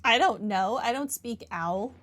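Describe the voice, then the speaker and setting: Animated, high-voiced woman gamer. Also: unhurried. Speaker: gaming streamer. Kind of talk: playthrough commentary and reading dialogue aloud.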